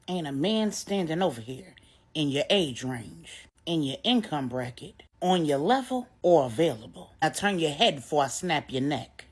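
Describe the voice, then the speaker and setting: A woman's voice: deep voice, sing-song, moderate pace, husky, pitched below the performer's own voice, exaggerated voice. A woman storyteller voicing a combative, sassy woman who threatens her rivals in slangy, confrontational talk. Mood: fierce.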